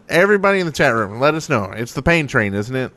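A man's voice: medium pitch, very animated, a little fast, a bit bright, no echo. A man co-hosting a gaming podcast in loose, casual conversation. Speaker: podcast co-host.

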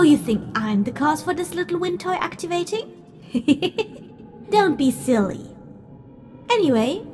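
A woman's voice: high voice, a little mellow. A woman playing a teasing spirit fortune-teller who talks down to mortals in a playful, theatrical way for an audio drama. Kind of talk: audio drama acting, direct address to listeners.

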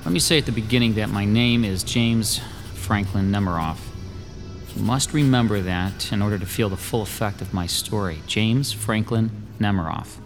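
A man's voice: fairly low pitch, moderate pace, over music, close to an everyday voice. A man in his forties playing a struggling artist in a radio drama, weary and defensive with his landlord. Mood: confiding. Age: 40s. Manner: weary, defensive, mildly sardonic.

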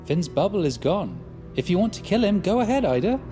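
A man's voice: high-pitched, big pitch swings, brisk, over music. An actor playing a cold, domineering male leader in an audio drama, speaking in a commanding, callous way. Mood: cold goading.

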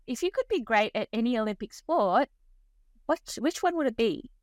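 A woman's voice: on the high side, lively intonation, normal speed, dry recording, studio quality. A woman hosting a pharmacy podcast, upbeat and bubbly, laughing often with her guest. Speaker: podcast host. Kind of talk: podcast interview.

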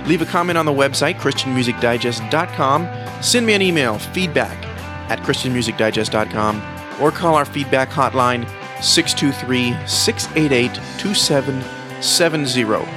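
A man's voice: medium pitch, normal speed. A man hosting a podcast, delivering a scripted show sign-off. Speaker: podcast host. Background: guitar music.

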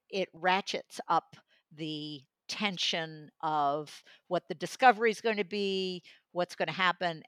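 A woman's voice: fairly low pitch, fairly bright tone, no echo, clean studio sound. A woman in a conversational book discussion, speaking as an audiobook reviewer. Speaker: audiobook reviewer and regular guest.

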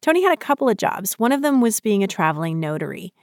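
A woman's voice: medium pitch, sing-song, fast, no room sound, clean studio sound. A woman hosting a radio news show, reading scripted narration. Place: dry broadcast studio.

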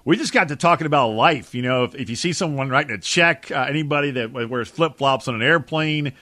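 A man's voice: medium pitch, brisk, pushed and bright, dry recording. A middle-aged man bantering as a sports radio host, with folksy, emphatic, slangy storytelling. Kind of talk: casual sports talk banter.

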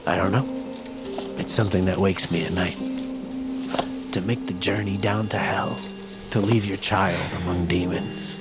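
An actor playing a brooding fantasy outcast fighter, speaking in poetic, old-fashioned phrases in a dramatic audio fiction style. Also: male voice, low voice, fairly even intonation, normal speed, pauses often, over loud music, close to an everyday voice. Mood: bitter, wounded. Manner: poetic, archaic, sardonic turns of phrase. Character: brooding male fighter of the Nameless, abandoned as a child.

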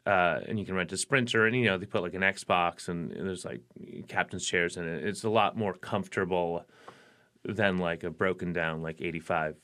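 A man's voice: sits low, normal speed, pushed and bright. A man, a musician guest in a radio studio, talking in a rambling casual way with lots of fillers and restarts. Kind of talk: casual interview chat.

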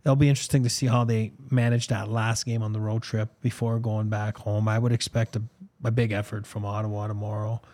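A man's voice: on the low side, little pitch movement, brisk, a little mellow. French-accented man rambling about hockey. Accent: French, with some Boston. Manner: rambling, lots of ums and "you know what I mean". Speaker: podcast co-host, former hockey player. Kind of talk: casual sports talk with ad reads.

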